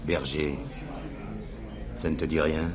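A domineering gangster in a crime radio drama. His voice is deep, and he gives curt, slangy orders full of menace. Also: little pitch movement, slow pace. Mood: threatening.

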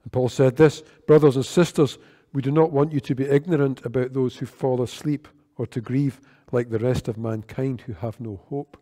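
An older man delivers a sermon at a church lectern, earnest and measured in his delivery. His voice is mellow and dark, and a long reverb trails off the sanctuary walls behind each phrase. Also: mid-range voice, fairly even intonation. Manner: measured, earnest preaching with gestures. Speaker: worship leader. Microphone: lectern microphone.

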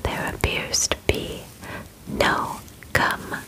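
A woman in her twenties playing a calm, clinical alien examiner in an ASMR roleplay, speaking formally and methodically close to the microphone. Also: poor audio. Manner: slow, formal, gently methodical, precise wording. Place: small bedroom, indoors. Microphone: close microphone in front of camera.

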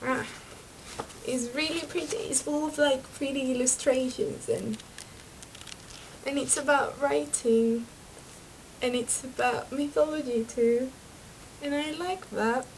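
A young woman from Venezuela, chatty and excitable, talking to her webcam about books in a small bedroom. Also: high voice, slow, pauses often, a little hiss or hum, rough recording. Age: young adult. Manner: chatty and excitable, laughs at her own slips. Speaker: book vlogger. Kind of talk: casual chat to camera. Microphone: webcam mic.

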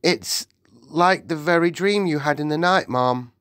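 A woman's voice: low-pitched, a little slow, a bit bright, no echo, clean studio sound, voice pushed up. A woman voicing a timid, deferential palace maid in an audiobook, stammering and quivering as she speaks. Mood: nervous, urgent.

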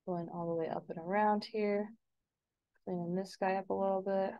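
A woman's voice: mid-range voice, fairly even intonation, moderate pace, highs cut off. Casual American woman giving a tutorial. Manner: informal, instructional, talking through each action as it is done. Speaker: instructor giving a software tutorial. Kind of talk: casual step-by-step screen demonstration.

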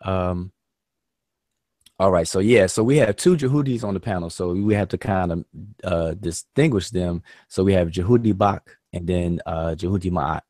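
A man with a very deep voice teaches an online class in a relaxed, easygoing way, leaning on "all right" and other fillers. The sound is dry and close, as if over a call. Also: normal speed, no echo.

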